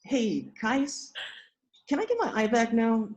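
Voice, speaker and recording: A woman's voice: a little high, sing-song, slow, low-quality recording. A woman voicing a goofy, oblivious young man with a nonchalant, rambling manner, reading from a script. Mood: suggestive. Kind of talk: script read-through.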